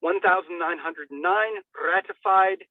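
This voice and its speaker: A man's voice: high-pitched, unhurried, dry recording. A man giving a dramatic, declamatory reading of a formal legal document, with emphatic swells. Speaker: reader. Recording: thin, phone-like band-limited sound.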